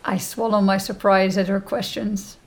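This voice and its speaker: A woman reading a first-person novel as an audiobook narrator, with measured, deliberate phrasing. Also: mid-range voice, level tone, gravelly.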